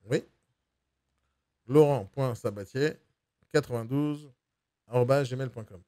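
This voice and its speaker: A man's voice: mid-range voice, slow, warm tone, no echo, studio quality. A man posing as a buyer on a phone call, pressing hard and repeating himself to get his way. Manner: pushy, insistent, repeats himself. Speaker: mystery shopper posing as buyer.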